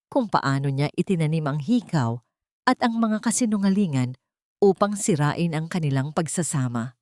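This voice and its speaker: Low-pitched woman narrating a dramatic story. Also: darkish tone, no echo, studio quality.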